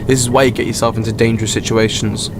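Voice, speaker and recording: A man's voice: mid-range voice, little pitch movement, fast, lightly acted. Sarcastic young sidekick, acted by a man, noisy backdrop. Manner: sarcastic, exasperated. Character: sarcastic, nervy young male travelling companion. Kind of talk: sci-fi audio drama acting. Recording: noisy ambience behind the voice.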